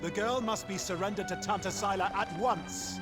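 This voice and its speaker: A man's voice: high-pitched, normal speed, lightly acted. An actor playing a pompous, accusing councilman in a declamatory video game style. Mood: stern, accusing. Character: accusing, zealous councilman demanding a young woman's surrender. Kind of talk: dramatic video game acting. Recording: dramatic music behind.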